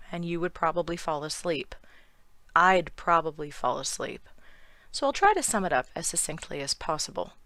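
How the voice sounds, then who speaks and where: A woman with a hoarse, worn voice, giving sardonic, irreverent scripted commentary for her video channel. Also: fairly low pitch, fairly bright tone, dry recording. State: hoarse from lost voice. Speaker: YouTube commentator.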